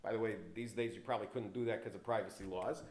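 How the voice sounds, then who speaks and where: A man with a low voice giving a guest talk at an event, telling business stories with big rises in pitch and volume on key words, sometimes stepping off the mic. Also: fast talker. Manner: animated, jumps in pitch and loudness on key words. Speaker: guest speaker. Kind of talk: storytelling talk to an audience. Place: event hall with an audience. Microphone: handheld or stand mic, sometimes stepping away from it. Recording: roomy hall echo.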